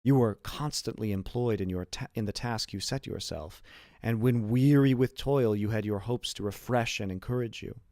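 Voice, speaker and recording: A man's voice: on the low side, normal speed, a little mellow, no echo, clean studio sound, close to an everyday voice. Man reading as an earnest, astonished young prisoner. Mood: curious, amazed. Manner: questioning, formal. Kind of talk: audiobook character reading.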